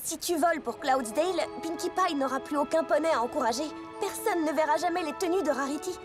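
Lady playing earnest young cartoon pony, high pitched, kinda lecturing, music behind. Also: brisk, close to an everyday voice. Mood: chiding. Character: earnest, sensible young female cartoon pony, gently chiding. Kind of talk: French cartoon dub acting. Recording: music bed under the dialogue.

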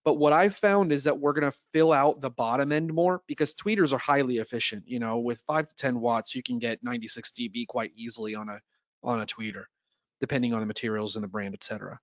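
A man's voice: medium pitch, fairly even intonation, quick pace, no echo, lo-fi sound. A man presenting in an explanatory webinar style, teaching step by step and checking in as he goes. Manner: didactic, checks understanding with "right?". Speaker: audio trainer and presenter. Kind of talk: explanatory product webinar talk.

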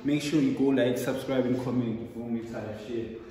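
A man's voice: medium pitch, fairly even intonation, moderate pace, warm tone. A young man giving a casual house tour for his vlog, with laid-back slangy talk and catchphrases repeated over and over. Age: young adult. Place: large bare-walled house, indoors. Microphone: phone camera held by someone else, from a distance. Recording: echoey empty rooms, voice from far off, noisy.

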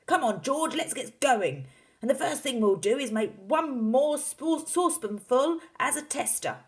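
Lady doing an excitable storybook dad, pushy and shouty, voice jumping all over the place. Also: a little high, normal speed, pitched above the performer's own voice. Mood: impatient, insistent. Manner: shouting, urgent, exclamatory. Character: excitable, bossy, overeager father hatching grand schemes.

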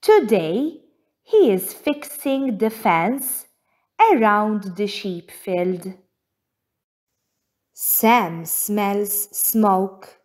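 A woman reading a children's storybook aloud as narrator, with deliberate, careful phrasing. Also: medium pitch, lively intonation, a little mellow.

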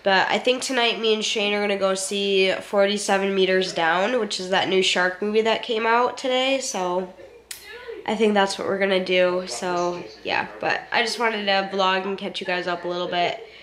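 A woman's voice: mid-range voice, fairly even intonation, normal speed, a bit bright. A young woman with a cold and a congested, nasal voice, chatting casually to the camera from her living room couch, rambling and swearing now and then. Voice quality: nasal, stuffy. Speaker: vlogger. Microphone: handheld camera close to the face.

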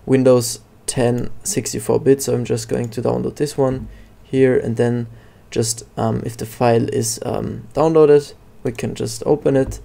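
A man narrating a casual software tutorial in a hesitant, halting way. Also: mid-range voice, level tone, gravelly. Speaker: tutorial host.

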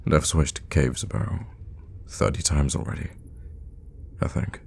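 A man playing a mysterious, outwardly friendly vampire hermit in an ASMR audio roleplay, talking in a halting, softly teasing way with quiet menace. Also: a bass voice, lively intonation, gravelly, slight background noise, full character voice. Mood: musing, flustered. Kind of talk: ASMR audio roleplay, intimate in-character monologue.

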